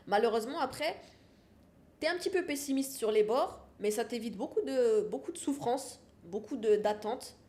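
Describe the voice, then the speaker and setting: A woman's voice: fairly high pitch, a little mellow. A woman from France, a podcast guest, talking frankly in a casual interview and repeating her phrases for emphasis.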